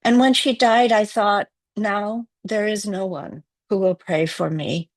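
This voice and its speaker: A woman from Wales whose first language is Welsh, giving a poetry reading in a measured, reflective way. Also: mid-range voice, steady tone, dry recording. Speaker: poet.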